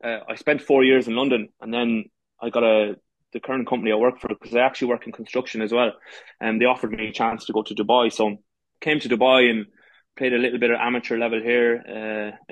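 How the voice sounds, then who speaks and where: A man's voice: medium pitch, level tone, fast talker, no echo, dull audio. An Irish man in his thirties, a footballer and coach talking as a podcast guest, with many restarts and fillers. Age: 30s. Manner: many false starts and fillers. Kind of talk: casual interview talk.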